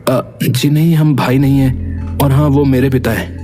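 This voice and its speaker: A man's voice: mid-range voice, steady tone, unhurried, mellow, close to an everyday voice. A man voicing a wounded, indignant young long-lost twin in an emotional story drama with music behind. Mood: worried.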